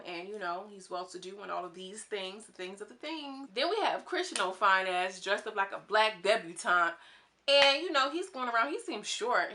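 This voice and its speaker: A woman from Houston with a twang, talking fast and chatting casually as she reviews a reality dating show, acting out quotes in a higher voice. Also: pushed and bright, a little hiss or hum. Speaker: YouTube reality TV commentator. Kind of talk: casual commentary monologue.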